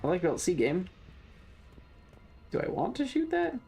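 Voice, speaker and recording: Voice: medium pitch, wildly swooping pitch, fairly quick, vocal fry, warm tone. A streamer from the southern United States giving casual video game commentary in a playful, mock-serious, rambling way. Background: game music.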